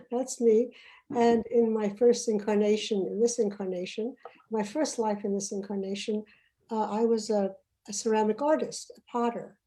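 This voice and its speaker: A woman's voice: medium pitch, steady tone, normal speed, warm tone, rough recording. A middle-aged woman from Uganda hosting an online panel, chatty and often trailing off mid-sentence. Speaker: panel host. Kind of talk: casual online panel talk. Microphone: video call.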